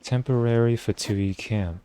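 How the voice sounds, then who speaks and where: A man's voice: lowish voice, measured pace, mellow, a little hiss or hum. A man streaming a game live, casually commenting and reading dialogue aloud.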